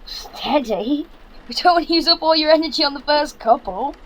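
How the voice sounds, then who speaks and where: A man's voice: extremely high pitch, animated, normal speed, a crowd in the background, rough recording. A young man playing a practical, bossy British son in an audio drama, speaking in an earnest, instructive way. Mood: coaching, urgent. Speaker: actor.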